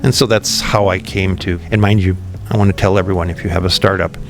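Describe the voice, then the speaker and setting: A man guesting on a podcast, a company founder who explains his ideas in a thoughtful, relaxed way, with frequent ums and restarts. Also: deep voice, quick pace. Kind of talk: relaxed interview conversation.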